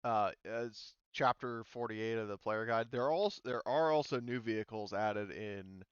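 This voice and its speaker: A man running a tabletop roleplaying game, casually explaining rules in a halting, rambling, self-interrupting way. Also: on the low side, clear, resonant tone, no echo, muffled recording. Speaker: game master.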